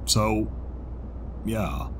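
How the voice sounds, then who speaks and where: A man plays a gentle young zebra stallion. His voice is on the low side and very slow, halting and bashful, as acted for an audio drama. A faint noise sits behind him. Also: monotone. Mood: bashful, sincere.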